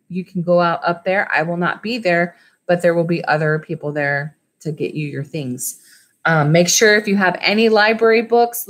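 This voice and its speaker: A woman in her thirties, a school library teacher, reading a storybook aloud and talking informally to children. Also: fairly low pitch. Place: small indoor room at home with wood-panelled walls. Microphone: webcam or laptop mic at arm's length.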